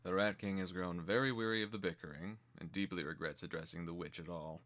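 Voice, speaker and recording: A man's voice: low-pitched, steady tone, a little fast, fairly bright tone. A man giving scripted dramatic narration as the narrator of a fantasy screenplay reading.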